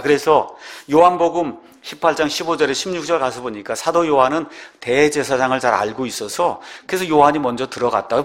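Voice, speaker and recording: A man preaching a sermon, asking the congregation questions and acting out the lines he quotes. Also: medium pitch. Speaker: pastor.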